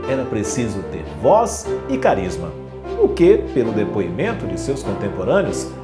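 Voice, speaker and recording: A man's voice: mid-range voice, wildly swooping pitch, normal speed. A man with a Brazilian accent reading a scripted documentary narration. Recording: reverberant voice-over over guitar music. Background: guitar music.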